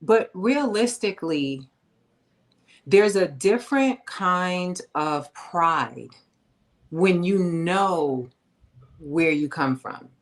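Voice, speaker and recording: A woman host from New with a low voice. She speaks in a lively, emphatic and slangy way, leaning into her points in casual conversation. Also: very slow. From New York. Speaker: podcast host.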